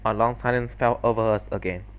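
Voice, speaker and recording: A man's voice: fairly low pitch, fairly even intonation, fairly quick, clean tone, low-quality recording. A man reading scripted story narration as the solo narrator of a fan dub. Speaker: solo fandub performer and narrator.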